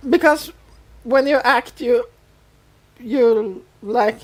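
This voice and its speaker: A woman co-hosting a podcast, chatting informally about a TV show and explaining things in a halting, conversational way. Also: fairly high pitch, a little mellow, low-quality recording. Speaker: podcast co-host. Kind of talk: casual chat about a TV show.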